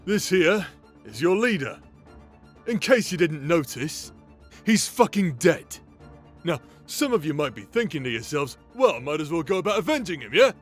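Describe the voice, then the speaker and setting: A man's voice: fairly high pitch, sing-song, normal speed. A man playing a swaggering, foul-mouthed demonic ex-paladin in an audio roleplay drama, taunting and vengeful. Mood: brash, commanding. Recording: music under the voice.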